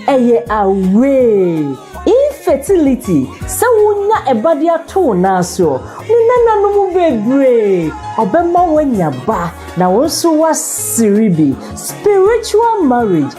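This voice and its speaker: A Ghanaian woman voices an animated advert. Her high voice has a mellow tone and leaps dramatically in pitch, with faint music underneath. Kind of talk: animated radio-style sales pitch.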